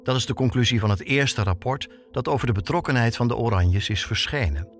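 A man's voice: medium pitch, fairly quick. A man narrates a documentary podcast as its host, in a mid-range voice. He delivers scripted lines like a storyteller, with faint music underneath. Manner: storytelling, with rhetorical questions.

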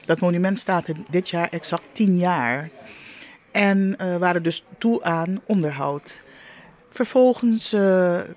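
Female interview guest, unscripted delivery, halting, with emphatic repetition. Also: on the low side, a little mellow. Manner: halting, repeats words for emphasis. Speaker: interview guest, monument project leader. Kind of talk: spontaneous interview answer.

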